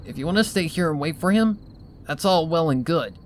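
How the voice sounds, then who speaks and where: Rainbow Dash, brash pony, voiced by a man, pitched up. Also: lively intonation, a little fast, a little hiss or hum, lightly acted. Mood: stubborn, insistent. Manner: blunt, insistent. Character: brash, stubborn, loyal young female flying pony.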